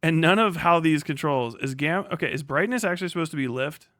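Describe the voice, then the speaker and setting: A man's voice: a little high, fairly quick, fairly bright tone, no room sound. Dry-sounding man muttering on a livestream. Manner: muttering to himself, sweary. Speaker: livestream host. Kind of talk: casual unscripted livestream chat.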